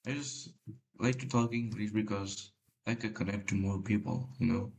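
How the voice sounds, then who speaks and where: Spanish-native guy talking over his game, low voice, halting, lots of ums. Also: steady tone, gravelly. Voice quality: deep. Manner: hesitant, halting, many fillers. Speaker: gamer. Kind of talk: casual gameplay commentary.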